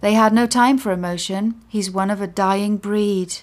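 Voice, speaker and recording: A woman voicing a kindly, gentle and wistful woman in a personal story. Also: medium pitch, fairly even intonation, moderate pace, lightly acted. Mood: reflective.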